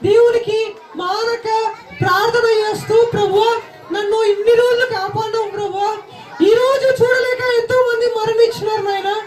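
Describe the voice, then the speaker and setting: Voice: very high voice, steady tone, normal speed, faint music behind. A puppeteer voicing a childlike puppet, exhorting children and asking them questions at a Christmas puppet show. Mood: earnest. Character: squeaky childlike puppet preaching cheerfully to children. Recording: echoey hall, crowd and noise behind.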